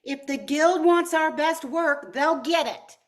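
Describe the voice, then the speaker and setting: Ambitious artistic wife, high-pitched, swooping and bossy, amateur radio comedy; female actor. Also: lively intonation, slow pace. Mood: impatient, rousing. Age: older. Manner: dramatic, bossy. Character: assertive, ambitious artistic wife craving a bigger part.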